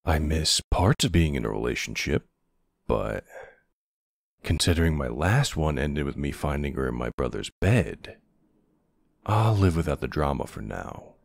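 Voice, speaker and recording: A man playing a gruff but tender, protective older man in an intimate ASMR boyfriend roleplay, speaking hesitantly with casual endearments. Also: a bass voice, big pitch swings, a little slow, no room sound, clean studio sound, full character voice. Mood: flustered, guarded. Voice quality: gravelly, husky. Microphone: close, dry microphone.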